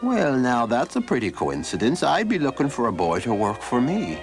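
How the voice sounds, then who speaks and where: A man voicing a crafty old gentleman who hires farmhands, speaking in a drawn-out, wheedling folksy way. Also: medium pitch, huge pitch swings, faint music behind, pitched above the performer's own voice. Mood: sly. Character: sly old man employer, crafty bargainer.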